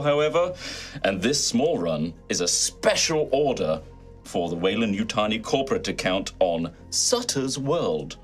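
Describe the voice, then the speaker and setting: A man hosting and game mastering a tabletop game, switching between jokey casual chat and narration. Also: medium pitch. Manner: playful, jokey.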